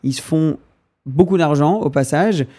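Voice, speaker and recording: A man's voice: a little high, unhurried, warm tone. A young man whose first language is English, a podcast guest who talks casually and hesitantly while he searches for French words.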